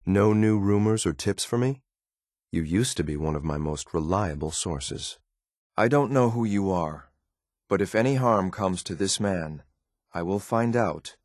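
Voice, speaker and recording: A man voicing a stern, dutiful synth enforcer in a sci-fi video game, speaking in a controlled, clipped, formal deadpan. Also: sits low, dry recording, studio quality. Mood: probing, unhurried, then quietly menacing.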